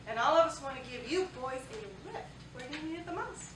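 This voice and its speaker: A high, ringing young woman's voice from a stage actress, playing a cheerful leader of wartime pen-pal girls. She projects brightly in a staged reading, heard from a distance with faint noise in the room. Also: lively intonation, brisk. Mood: cheerful, playful. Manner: upbeat, projected stage delivery. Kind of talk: staged reading of a historical play. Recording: echoey stage, distant mic, background noise.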